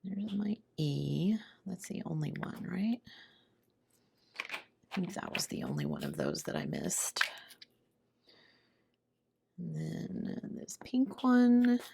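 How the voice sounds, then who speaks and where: A woman hosts a casual craft video in a very deep voice full of fry. She sounds ill and hoarse, as if her voice is giving out. She talks half to herself while she works. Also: a little mellow. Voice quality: hoarse, low voice. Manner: murmuring to herself while working. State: sick, voice giving out.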